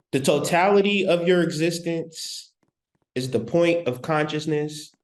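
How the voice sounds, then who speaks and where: A man giving a spiritual lecture, speaking deliberately with long pauses and repeating key phrases for emphasis. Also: fairly high pitch. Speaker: spiritual teacher.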